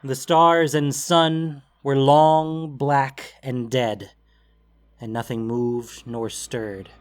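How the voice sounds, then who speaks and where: A man narrating a scripted fantasy story in a solemn, dramatic way with deliberate phrasing. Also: medium pitch, fairly even intonation. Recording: music bed under the voice. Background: background music.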